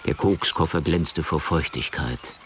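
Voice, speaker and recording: A man's voice: a bass voice, unhurried, a bit bright, a little hiss or hum. A man narrating a crime radio play in a scripted, literary storytelling style.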